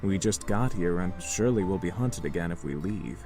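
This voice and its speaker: An actor playing a polite, composed young incubus leader in a visual novel, speaking formally and courteously. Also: male voice, low voice, lively intonation, brisk, over music.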